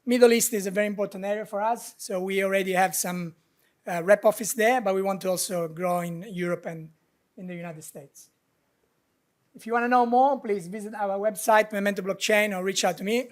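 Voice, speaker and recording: A man giving a founder's pitch to investors, slightly halting with fillers and small grammar slips.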